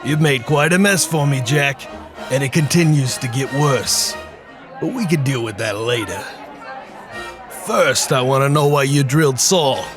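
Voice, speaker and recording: A man acts an enormous gangster boss from a hardboiled radio play. He speaks at a middling pitch, blunt and menacing, while music plays clearly behind. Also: a little slow, crowd noise, close to an everyday voice. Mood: gruff contempt. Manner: blunt, commanding. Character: huge, domineering, menacing male mob boss.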